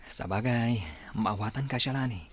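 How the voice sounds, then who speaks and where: A man's voice: medium pitch, moderate pace, husky, lightly acted. A man with an Ilocano Filipino accent acting a coaxing young gang leader in a radio drama.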